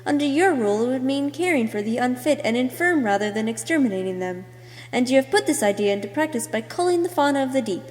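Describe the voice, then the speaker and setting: A woman's voice: sits high, lively intonation, quick pace, darkish tone. A woman reading scripted second-person narration as an amateur voice actor, in a performed storytelling way. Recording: echoey, long room reverb.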